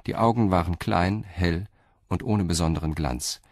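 A man narrating a crime radio play in a measured, articulate, literary style. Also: low-pitched, dry recording.

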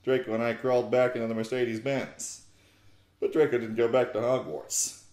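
A man in his twenties giving a theatrical, mock-serious reading of fan fiction, deliberate in his delivery. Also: mid-range voice, darkish tone, roomy sound. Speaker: narrator, YouTube host. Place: small living room, indoors. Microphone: desktop USB microphone close by.

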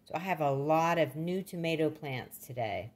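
A woman's voice: sits low, animated, normal speed. An older woman giving a casual, plain-spoken how-to demonstration, recorded indoors in a kitchen. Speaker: gardening demonstrator.

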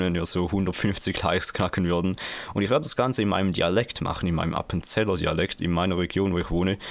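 A young man from Switzerland with a Swiss German accent, casually commenting live on his own gameplay, excitable and full of fillers. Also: low-pitched. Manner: casual, excitable, many fillers and quick reactions. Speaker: gaming channel host.

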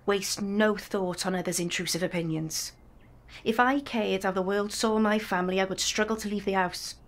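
An actress playing a devoted, proud young mother in a period audio drama, with a gentle, candid manner. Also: medium pitch, steady tone, moderate pace, lightly acted. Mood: polite, candid.

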